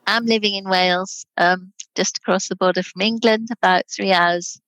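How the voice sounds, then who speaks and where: A woman in her sixties, originally from Scotland, chatting relaxed as a guest over a video call and laughing easily. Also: medium pitch, moderate pace, no echo, poor audio. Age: 60s. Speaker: guest, charity director. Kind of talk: casual interview chat.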